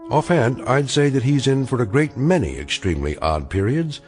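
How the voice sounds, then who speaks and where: An actor as a portentous anthology narrator for a radio drama, measured and ironic. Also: male voice, medium pitch, expressive, a little mellow, faint music behind, natural voice. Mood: wry, portentous.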